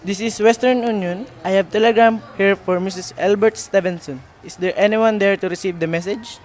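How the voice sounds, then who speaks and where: A man's voice: high-pitched, fairly even intonation, a little fast, close to an everyday voice. A man playing a businesslike telegram clerk in an amateur radio play, reciting formally. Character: brisk, formal telegram clerk reading out a message.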